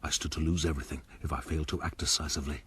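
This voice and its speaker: A man playing a scheming, self-pitying gentleman rogue who narrates his own life in a formal, self-justifying way for a radio drama. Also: extremely low pitch, flat delivery, racing, a little hiss or hum. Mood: bitter, calculating.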